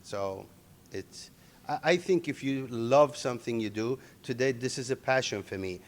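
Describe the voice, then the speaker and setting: A middle-aged man, born in Iran and raised in Sweden, answering interview questions in halting English full of restarts. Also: mid-range voice. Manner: halting non-native English with many restarts. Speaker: interviewee, MMA organization owner.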